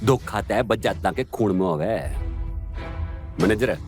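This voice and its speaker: An actor playing a vengeful, abusive villain in an audio drama, with a Haryanvi accent and a commanding, threatening manner. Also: male voice, lowish voice, measured pace, close to an everyday voice. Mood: contemptuous, angry. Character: menacing, abusive, vengeful powerful man, a villain. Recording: music under the voice.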